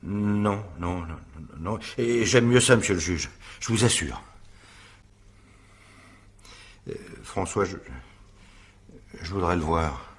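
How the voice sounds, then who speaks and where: A nervous widower questioned in a radio mystery. The voice is fairly low and rough-edged. He stammers, hedges and defends himself haltingly. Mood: shaken. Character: nervous, evasive widowed husband under questioning. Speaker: actor in a radio mystery drama. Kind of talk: French radio drama acting, naturalistic.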